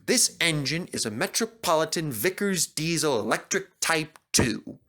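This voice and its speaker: A man's voice: fairly high pitch, animated, unhurried, pushed and bright, voice pushed up. A man doing a stern old steam engine. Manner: scolding, firm. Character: older steam engine, stern but kindly.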